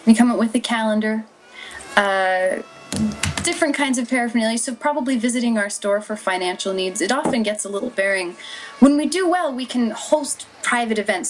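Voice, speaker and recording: A woman guest chatting casually in an interview over a webcam video call from a room at home. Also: low-quality recording. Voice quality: says her voice is relatively low. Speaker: guest, maid cafe performer.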